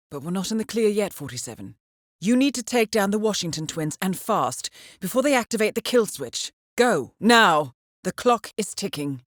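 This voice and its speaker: An actress playing a cool, dryly witty spy handler in a video game, with a British accent and a measured, polished, understated delivery. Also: female voice, lowish voice, dry recording, studio quality. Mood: urgent. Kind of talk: scripted video game voice acting, mission briefings.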